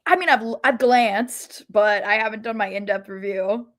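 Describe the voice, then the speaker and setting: A woman's voice: mid-range voice, moderate pace. A woman co-hosting a sports podcast, chatting casually and conversationally.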